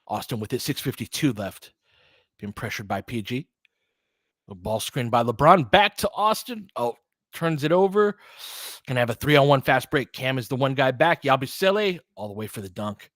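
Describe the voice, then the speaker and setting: Man, mid-pitched voice, fan play-by-play host, conversational, thinking aloud, dry close recording. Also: lively intonation, normal speed, a bit bright, no echo. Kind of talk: casual live basketball commentary and analysis.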